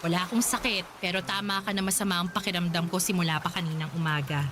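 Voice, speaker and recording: A woman's voice: lowish voice, steady tone, quick pace, noisy background, natural voice. A woman playing an affectionate, teasing young wife in a radio drama. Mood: coy, reassuring.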